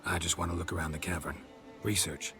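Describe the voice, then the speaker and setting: An actor playing a stoic, dry-witted monster hunter in a fantasy game, with terse, deadpan lines. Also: male voice, low-pitched, brisk, music in the background, close to an everyday voice. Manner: terse, deadpan, clipped sentences. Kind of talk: video game cutscene dialogue.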